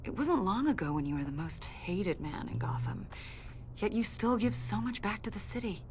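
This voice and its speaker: An actress playing a confident government agent in a video game, questioning in a pointed, insinuating and accusatory way. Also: female voice, medium pitch, animated, normal speed, a little hiss or hum, lightly acted. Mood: probing. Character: probing female government agent, confident, accusatory, smoothly needling. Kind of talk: video game dialogue acting.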